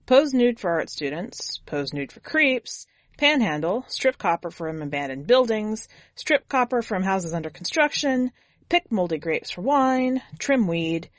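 Casting: female reader as sardonic young hitchhiker, slightly low voice, blunt and jaded, dry studio sound. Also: no room sound. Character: jaded, foul-mouthed twenty-year-old drifter hitchhiker. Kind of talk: first-person audiobook narration.

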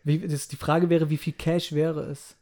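A man's voice: a little high, little pitch movement, moderate pace, dark tone. A young man, a rapper, answering interview questions in a thoughtful, hesitant way with many fillers. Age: young adult.

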